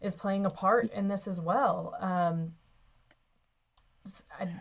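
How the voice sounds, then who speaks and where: A woman's voice: mid-range voice, slow pace, vocal fry. A woman co-hosting a live call-in marriage advice show, speaking to a caller in a sympathetic, reassuring way. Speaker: co-host and marriage coach.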